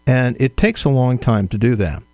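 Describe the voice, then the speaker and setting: A man answering interview questions as an author, in a measured, firm, explanatory way. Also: mid-range voice, darkish tone, no room sound.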